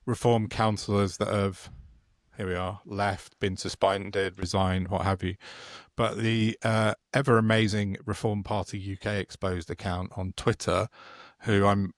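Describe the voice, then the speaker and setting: A man's voice: lowish voice, dry recording, clean studio sound. A man co-hosting a political podcast, chatting casually with frequent restarts and wry remarks. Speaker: podcast co-host.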